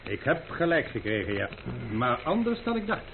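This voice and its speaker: Wise friend, swooping intonation, old radio. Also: male voice, on the high side, sing-song, moderate pace. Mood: wry, consoling. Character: wise, teasing, food-loving friend and former servant. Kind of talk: radio drama acting. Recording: noisy, narrow-band old radio recording.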